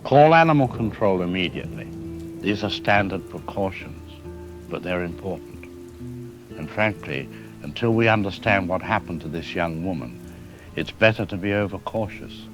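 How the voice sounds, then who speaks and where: Very low male voice, actor as cautious scientific expert, deliberate phrasing, news interview drama, music underneath. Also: animated. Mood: measured concern. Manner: careful, deliberate, hedging. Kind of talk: broadcast interview acting.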